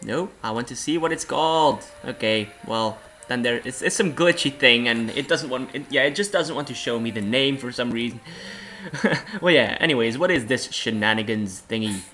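Hoarse young man with a cold. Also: medium pitch, moderate pace, pushed and bright, soft background music. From Belgium. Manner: rambling, self-deprecating, laughs often. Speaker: let's play host. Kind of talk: casual game commentary.

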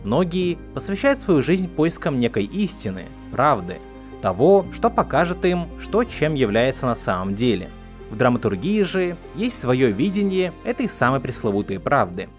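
A man narrating a scripted explanatory video essay in a measured, didactic manner. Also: mid-range voice, sing-song. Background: background music.

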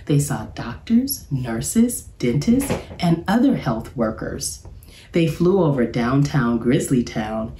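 A middle-aged woman reads to children like a teacher at story time. Her voice is fairly low and swings widely in pitch as she enunciates at an unhurried pace. The room adds some echo. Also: slight background noise. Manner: expressive, deliberate enunciation, addressing young listeners. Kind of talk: children's read-aloud storytelling. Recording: roomy, echoey sound.

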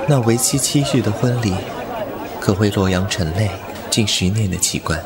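A man voicing a thousand-year-old male fox spirit who narrates the drama in a calm, measured, detached way. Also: fairly low pitch, a loud crowd, lightly acted. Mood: musing. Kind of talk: fan audio drama acting.

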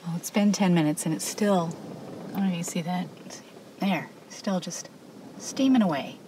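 A woman's voice: lowish voice, moderate pace. A middle-aged woman cooking in a camper van, chatting and muttering to herself as she works on camera. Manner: chatty, thinks aloud, mutters to herself while working. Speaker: camping vlogger. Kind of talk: casual hands-on demo. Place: inside a parked camper van. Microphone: camera mounted inside the van. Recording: loud background noise in a van.

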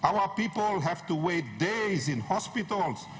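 A man's voice: sits high, animated, a little slow. A middle-aged man giving an impassioned, emphatic speech as a member of parliament, using rhetorical repetition. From Papua New Guinea. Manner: emphatic, rhetorical, repeats phrases for effect. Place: large wood-panelled parliament chamber. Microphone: desk gooseneck microphone, standing. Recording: noisy parliament chamber microphone.

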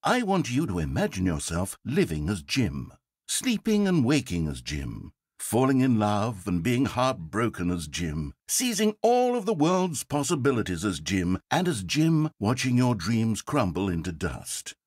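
An actor playing a fussy, needy male game narrator, theatrical and quick to turn from coaxing to exasperated. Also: on the low side, wildly swooping pitch, darkish tone, husky, no room sound, clean studio sound, exaggerated voice. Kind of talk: video game voice acting.

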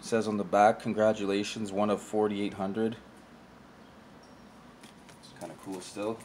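A young man with a slightly low voice talks casually into a table camera in his room, showing off cards. His delivery is offhand and mumbly, often trailing off mid-thought. A faint room hum sits behind him. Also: flat delivery, a little slow, a little mellow. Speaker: card collector vlogger. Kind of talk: casual card showcase commentary. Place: indoor room with shelf of collectibles.

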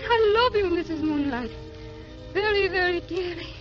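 A woman's voice: very high-pitched, expressive, normal speed. An actress playing a tender, weary, ageless grandmother who speaks wistfully and repeats her phrases, in old radio drama style. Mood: dreamy, fading. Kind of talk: 1930s-40s radio drama acting. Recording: dull old radio recording with music.

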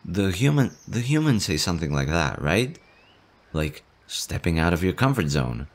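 A man voicing a nervous, gentle young male slime monster in an audio roleplay, talking softly and hesitantly. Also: deep voice, sing-song, normal speed. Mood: hopeful. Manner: soft, hesitant, chatty.

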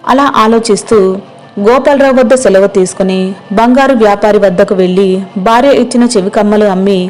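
A woman's voice: medium pitch, darkish tone, low-quality recording. A woman narrator reading a scripted moral story.

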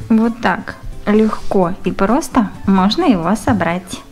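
A woman's voice: mid-range voice, expressive, slow pace, a little mellow, soft background music. A woman giving step-by-step craft instructions in short phrases, full of fond diminutives. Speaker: craft tutorial presenter.

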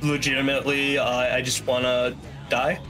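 A man's voice: mid-range voice, flat delivery, normal speed, pushed and bright, rough recording. A man giving casual, rambling, wry commentary as the runner in a video game speedrun. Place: small room at home, indoors. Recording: game music behind the voice. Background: video game soundtrack music.

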